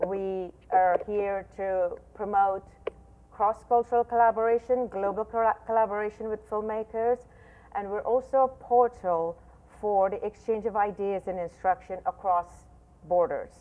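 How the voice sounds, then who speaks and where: A woman's voice: medium pitch, steady tone, moderate pace. A woman hosting an interview show, enthusiastic and chatty, often restarting her words. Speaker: show host. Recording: tinny phone-like line.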